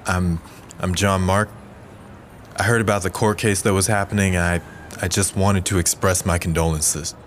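An actor playing an earnest, calm man urging unity, speaking in a measured, sincere way in an audio drama. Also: low voice, a little hiss or hum, natural voice. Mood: gentle, sympathetic.

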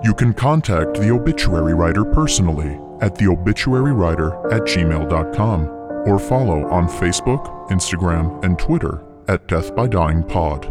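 A man reads a podcast's closing credits in a low, steady, measured voice. Loud music plays beneath his voice the whole time. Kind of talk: reading credits.

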